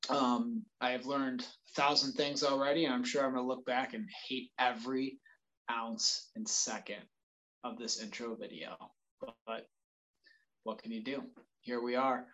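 A man's voice: medium pitch, fairly even intonation, unhurried, poor audio. Earnest, sheepish man introducing a podcast. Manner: earnest, sheepish, trailing off quieter. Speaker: podcast host. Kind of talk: recorded intro monologue.

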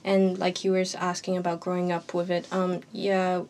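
A woman's voice: lowish voice, monotone, normal speed, a little mellow, a little hiss or hum. A woman in her early twenties from Hong Kong, a university student speaking earnestly and emphatically in a roundtable discussion. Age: early 20s. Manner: earnest and emphatic, with occasional laughs.

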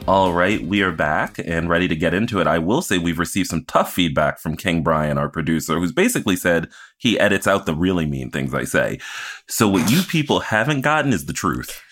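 Low-voiced, animated, teasing man. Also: fairly quick, soft background music. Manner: blunt, teasing, sardonic. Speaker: podcast co-host. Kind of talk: casual banter.

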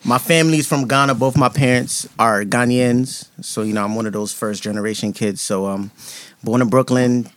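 A man's voice: medium pitch, steady tone, normal speed, no room sound. A man, a guest on a podcast. He tells his story in a loose, profane way and laughs often. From New York, USA. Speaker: guest rapper.

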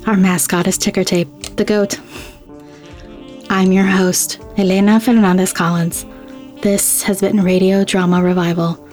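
A woman host reads scripted podcast credits and announcements. Her voice is mid-pitched and stays very even, with faint music underneath. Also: measured pace.

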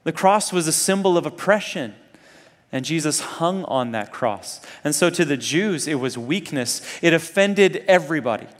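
A man's voice: fairly high pitch, animated, normal speed. A man preaching a sermon to students in a conversational, earnest way, often putting rhetorical questions to his listeners. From Zambia and Kenya. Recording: long hall reverb.

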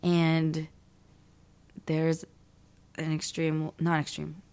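A woman's voice: low voice, clear, resonant tone. A young adult woman talking animatedly and emphatically in a casual conversation as a podcast co-host. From United States. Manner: animated, emphatic, quick bursts of speech.

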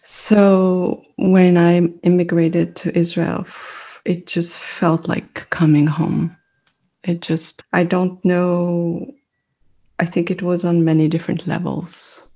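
A middle-aged woman whose first language is French, speaking as an interview guest. She talks in a thoughtful, reflective way, pausing often as she searches for words. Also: fairly low pitch, flat delivery, dark tone. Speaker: mindfulness meditation teacher, guest.

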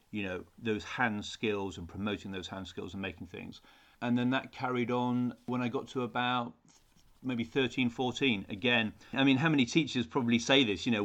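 A man's voice: fairly low pitch, a bit bright. A middle-aged man, a teacher answering interview questions in an earnest way, often repeating words when he restarts. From England. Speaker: design teacher, podcast guest.

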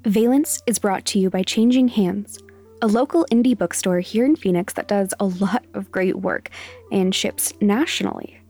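With music behind her, a woman reads scripted material as a podcast host. Her voice sits at a medium pitch and she speaks as an announcer to listeners. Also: normal speed, mellow.

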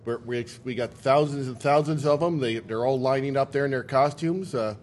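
A man's voice: medium pitch, normal speed, darkish tone, soft background music. A middle-aged man, a convention organizer, chatting casually in an interview and rambling in a folksy way with casual swearing.

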